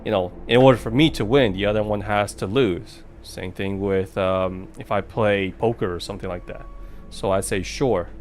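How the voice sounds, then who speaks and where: A man's voice: lowish voice, moderate pace, slight background noise. A man doing casual let's play commentary on a horror game, tossing out wry, teasing replies to it. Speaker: streamer playing a horror game.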